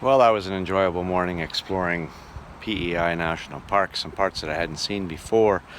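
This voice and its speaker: A man's voice: deep voice, normal speed, a bit bright. A man giving an earnest, reflective travel vlog narration, savoring his words, recorded outdoors by the sea. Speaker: travel vlogger and touring performer. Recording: noisy outdoor recording with surf.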